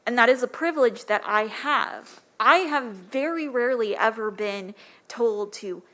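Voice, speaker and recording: A woman with a light, soft-spoken voice pitched a little high speaks earnestly at a council meeting. She talks into a podium microphone in a council chamber. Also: measured pace. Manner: earnest, impassioned. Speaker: public commenter. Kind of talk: public comment at council meeting.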